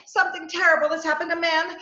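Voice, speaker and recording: A woman's voice: extremely high pitch, level tone, fast talker, sharp tone. Actress as eager flustered woman reporter, radio comedy-mystery, chattering, dull sound, roomy echo. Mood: nervous, chattering. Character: scatterbrained, eager, plucky woman newspaper reporter. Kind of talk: old-time radio comedy-mystery acting. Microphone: video call. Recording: dull, roomy video call recording.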